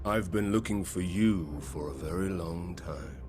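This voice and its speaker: A man playing a menacing, mocking warlord villain in a video game, theatrical and gravelly, with deliberate, taunting delivery. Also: low-pitched, background noise. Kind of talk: dramatic video game acting.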